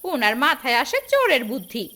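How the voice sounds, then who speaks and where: A woman acts a scolding cartoon village wife with a very high voice that swings widely in pitch. She sounds reproachful and fed up, over a clearly noisy background. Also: very animated, a little fast. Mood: exasperated. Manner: nagging, reproachful. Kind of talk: Bengali fairy-tale cartoon dialogue. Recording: clear background noise, outdoor ambience.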